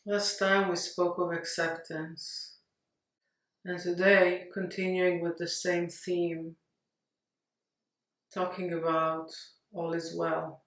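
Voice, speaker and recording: A woman leading a guided meditation in a gentle, unhurried manner, leaving long pauses. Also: on the low side, little pitch movement. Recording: roomy echo, distant mic.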